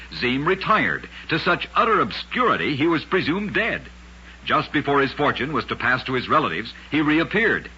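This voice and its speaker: On a muffled old radio recording, a man narrates short scripted tales with a very forceful, bright edge and a distinctly rough, husky texture. He delivers them as an emphatic, dramatic storyteller. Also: mid-range voice. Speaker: narrator and host of a radio oddities program.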